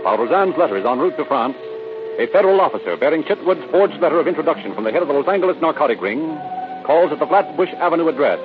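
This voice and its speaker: A man's voice: a little high, animated, fast, over music, lightly acted. A male actor narrating a vintage radio crime drama, formal, emphatic and melodramatic. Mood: dramatic. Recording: narrow-band old radio recording.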